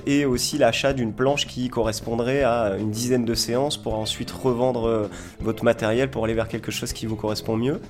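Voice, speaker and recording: A man from southern France giving an informal interview as a sports instructor, explaining with enthusiasm and frequent pauses. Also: medium pitch, a little mellow. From Montpellier, southern France. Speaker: foil school owner and instructor, interview guest.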